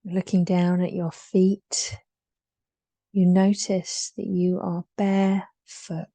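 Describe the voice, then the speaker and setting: Soft soothing lady doing a guided meditation, super slow w long pauses, really dry close sound. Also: lowish voice, flat delivery, no room sound. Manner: soothing, unhurried, with long pauses between short phrases.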